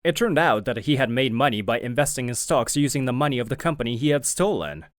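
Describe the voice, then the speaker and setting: A man narrating as a young company president, telling the story in a lively way. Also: mid-range voice, fast talker, no room sound, clean studio sound, close to an everyday voice. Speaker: narrator and lead figure. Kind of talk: manga video narration.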